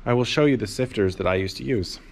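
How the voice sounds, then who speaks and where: A man's voice: mid-range voice, fast, vocal fry. A man in his thirties casually explaining how to start a worm farm, rambling with lots of ums, filmed close in a large barn. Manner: relaxed, rambling, many "um"s. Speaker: worm farmer. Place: large insulated barn or workshop, indoors. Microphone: camera held low, close.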